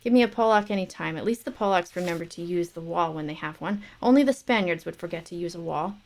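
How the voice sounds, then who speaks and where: A woman's voice: lowish voice, fast, close to an everyday voice. A woman voicing a scornful, scolding older male fencing teacher. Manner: scolding, contemptuous.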